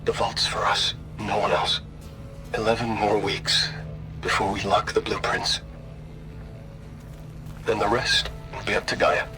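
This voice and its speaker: A man's voice: fairly low pitch, fairly even intonation, a little slow, pauses often, close to an everyday voice, low-quality recording. A man playing a secretive, grieving man confiding in an audio log for a game, brooding, with music behind. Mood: grieving, resolute.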